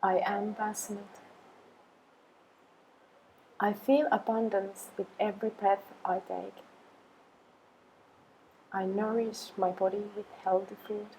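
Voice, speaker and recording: A woman guides an affirmation meditation in a mid-pitched voice that stays very even. She places each phrase slowly and deliberately, leaving long pauses. A faint layer of ambient noise sits behind her. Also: poor audio.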